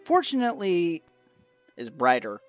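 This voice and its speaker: A man's voice: fairly high pitch, huge pitch swings, a little slow, lo-fi sound. A man narrating an informal review of a collection as its reviewer. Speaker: reviewer of an old radio show collection. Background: music.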